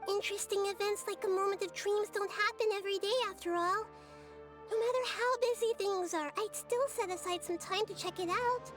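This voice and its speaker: A woman voicing a gentle, childlike goddess in a video game, soft and thoughtful, talking in calm analogies. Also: extremely high pitch, brisk. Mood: curious. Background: game music.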